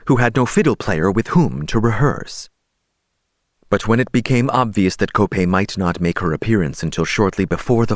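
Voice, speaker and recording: A man with a deep voice narrates a fantasy audiobook. His delivery is measured and deliberate, shaped as dramatic storytelling. The recording is dry and close, with very little room sound. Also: no echo.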